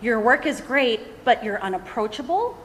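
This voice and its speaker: A woman's voice: fairly high pitch, lively intonation, normal speed, fairly bright tone, slight background noise. A woman on a podcast panel who talks in a blunt, straightforward way. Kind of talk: casual panel discussion. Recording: long reverb in a live room.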